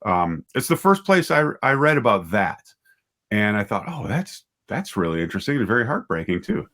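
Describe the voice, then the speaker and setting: A man in his fifties telling stories as an interview guest, conversational and halting, often repeating words and correcting himself. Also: lowish voice, very animated, a bit bright. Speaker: writer and director, interview guest.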